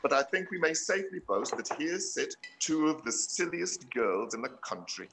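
A man playing a sardonic middle-aged gentleman father in a period radio play, over a video call. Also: fairly high pitch, sing-song, normal speed, vocal fry, full character voice. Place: room at home, on a video call. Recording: echoey noisy video call.

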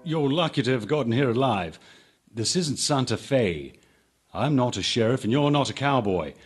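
An actor playing a stern, exasperated military police major in a radio drama, scolding with authority. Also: male voice, medium pitch, animated, moderate pace, slightly rough, soft background music.

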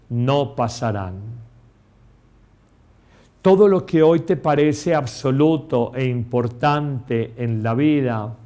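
A middle-aged man, a priest, giving a homily in a slow, emphatic way, speaking straight to the listener in a small chapel. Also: medium pitch, darkish tone. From Colombia. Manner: slow, emphatic preaching, addressing the listener directly. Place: small chapel set, indoors.

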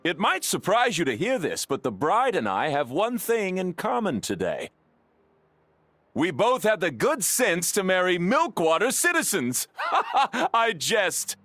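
An actor playing a jovial, pompous small-town mayor giving a ceremonial, theatrical speech in a video game. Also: male voice, high voice, huge pitch swings, measured pace, fairly bright tone, dry recording, faint music behind, full character voice.

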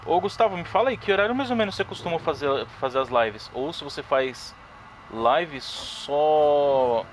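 A man's voice: fairly high pitch, moderate pace, slight background noise. A young Brazilian man streaming a horror game, chatting casually with viewers and thanking followers. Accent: Brazilian Portuguese. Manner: chatty, thanks followers, sudden excited yelps. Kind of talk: casual live game commentary.

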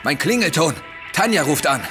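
An actor playing a young son and hero who talks urgently and bluntly, with dry sarcasm, in a German radio drama. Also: male voice, on the high side, expressive, moderate pace, music in the background, natural voice. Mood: sarcastic. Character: determined young hero, stubborn and quick-witted.

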